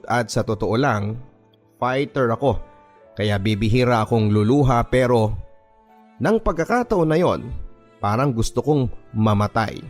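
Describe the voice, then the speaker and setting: A man with a Filipino accent reading a first-person story as dramatic scripted narration for a radio drama. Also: medium pitch. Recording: music bed under the voice. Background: background music.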